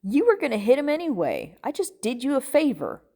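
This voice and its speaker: A woman's voice: fairly low pitch, lively intonation, moderate pace. An impulsive male sidekick voiced by a woman reader in a storybook thriller. He sounds earnest, naive and defensive. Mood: scoffing.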